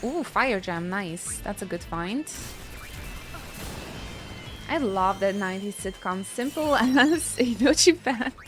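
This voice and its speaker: A woman's voice: mid-range voice, expressive, takes it slowly. Woman streamer chatting over game music. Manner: playful, exclaiming. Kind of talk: casual chat and reactions during gameplay.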